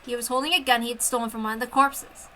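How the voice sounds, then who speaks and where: A woman's voice: on the high side, fairly even intonation, quick pace, pushed and bright. A woman reading a visual novel aloud as a dramatic narrator, with casual asides. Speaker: story reader.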